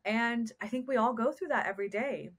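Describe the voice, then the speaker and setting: A woman's voice: fairly high pitch, a little fast, fairly bright tone. A woman, a therapist speaking as a guest on a podcast interview, earnest and reflective, often posing rhetorical questions. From United States.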